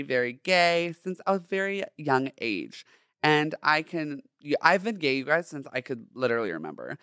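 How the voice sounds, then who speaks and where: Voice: medium pitch, fairly bright tone, clear, resonant tone, no echo. Twenties podcast host, feminine-sounding voice, casual, slangy, playful, emphatic, solo monologue, dry recording. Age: 20s.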